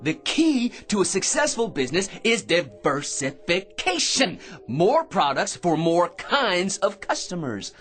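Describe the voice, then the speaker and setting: An actor playing a brash, eager, self-deluded schemer in a comic radio drama, full of cocky tag questions and nervous chuckles. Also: male voice, high-pitched, big pitch swings, unhurried, fairly bright tone, faint music behind, exaggerated voice. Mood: pitching eagerly.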